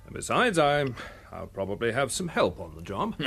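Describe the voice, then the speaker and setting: A man's voice: medium pitch, huge pitch swings, moderate pace, gravelly, a bit bright, breathy edge, soft background music, exaggerated voice. A man playing a modest, good-humoured English police detective in a radio drama, plain and politely dry.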